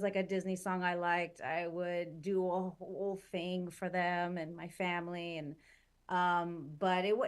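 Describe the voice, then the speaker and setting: Chatty, lower-pitched woman guest. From Chile, raised in Southern California. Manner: chatty and animated, many fillers. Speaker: guest actress. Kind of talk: casual interview chat.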